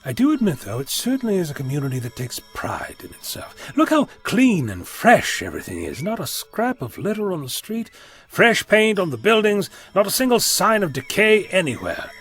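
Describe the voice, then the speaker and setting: A man acting in an audio drama as an eccentric, self-assured time traveller, wordy, breezy and gently lecturing. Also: sits high, huge pitch swings, normal speed, faint music behind, exaggerated voice. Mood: breezy, wry.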